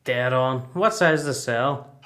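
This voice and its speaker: A man's voice: mid-range voice, a little slow, a bit bright, lightly acted. A man with a Northern Irish accent acting a naive, curious country lad in a prison radio play, speaking in short, plain phrases. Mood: plain, puzzled. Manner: plain, short answers and questions.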